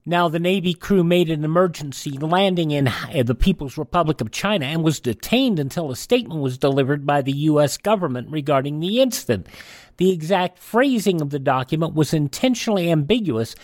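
A man's voice: a little high, expressive, fairly quick, no echo, clean studio sound. A man hosting a radio show, reading a scripted rundown of historical events.

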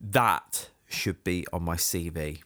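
A man's voice: low-pitched, slow, dry recording, clean studio sound. Jokey man hosting a podcast. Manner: jokey, self-mocking, energetic. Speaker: podcast host. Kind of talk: casual podcast monologue.

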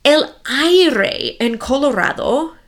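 A woman's voice: high voice, expressive, very slow. A woman from the United States with an American accent, a native English speaker, narrating a scripted story for language learners in simple, carefully separated sentences. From Minnesota, United States. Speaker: host of a Spanish-learning story podcast.

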